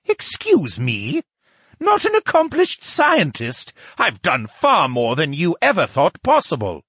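A man's voice: sing-song, moderate pace, a bit bright, husky, no room sound, clean studio sound. A man voicing a haughty, touchy male scientist in an audiobook, with a mocking, scornful manner. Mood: indignant. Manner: mocking, scornful, shrill when provoked. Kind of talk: audiobook character acting.

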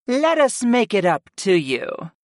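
Narrator doing a sultry pouty goddess woman, fantasy audiobook, high swoopy coaxing murmur. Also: big pitch swings, slow, dry recording, clean studio sound.

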